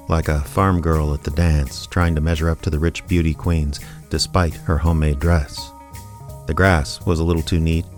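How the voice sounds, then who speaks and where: An actor playing a sardonic, reluctant small-town investigator in a radio drama, with a dry, wry, hardboiled delivery. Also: male voice, low-pitched, darkish tone, lightly acted. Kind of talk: hardboiled detective radio drama narration and dialogue.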